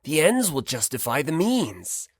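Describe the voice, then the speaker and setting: A shrewd teenage boy, voiced by an audiobook reader argues calmly and with sly agreeableness. The pitch is medium and moves in a lively way, recorded close and dry. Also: unhurried, husky, no room sound. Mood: slyly reasoning. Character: smiling, shrewd, smooth-talking teenage boy.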